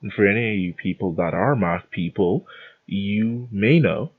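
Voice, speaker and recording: Young Bahamian-born podcast host, low husky voice, rambling rant on a muffled mic. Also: male voice, a little slow. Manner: rambling, self-interrupting, lively storytelling.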